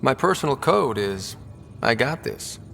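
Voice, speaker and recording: A man's voice: mid-range voice, sing-song, unhurried, gravelly, fairly bright tone, exaggerated voice. An actor playing a cocky but earnest young male explorer leading a mission in a video game. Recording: music behind the voice.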